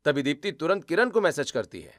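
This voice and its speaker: A man's voice: fairly high pitch, big pitch swings, quick pace, fairly bright tone. A man narrating a scripted story as its storyteller.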